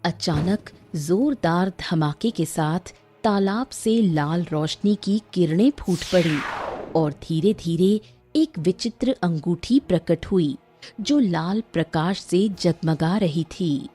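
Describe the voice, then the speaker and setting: A woman narrator giving dramatic scripted narration for a cartoon story, in a husky, dark voice. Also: fairly low pitch, slow, faint music behind.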